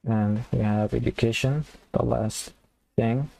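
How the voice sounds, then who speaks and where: A man giving a casual coding tutorial, thinking aloud and explaining step by step in an informal, halting way. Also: medium pitch, steady tone, creaky, warm tone, rough recording. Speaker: programming tutorial instructor.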